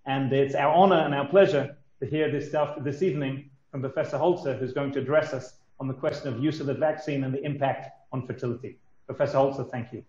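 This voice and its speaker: A man giving a formal introduction as host of a conference, with halting, hesitant delivery. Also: medium pitch, steady tone, darkish tone. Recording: echoey, slightly dull audio.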